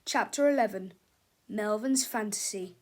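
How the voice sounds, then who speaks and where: A woman's voice: medium pitch, measured pace. A woman reading a children's book aloud as scripted narration.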